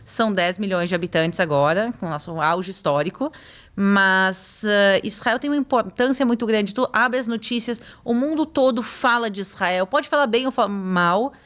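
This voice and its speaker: A woman's voice: medium pitch, normal speed, a bit bright. A young woman, explaining things at length as a podcast guest. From Brazil. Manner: explanatory, uses "tu" and "pessoal". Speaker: podcast guest, tour guide. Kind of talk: casual podcast chat.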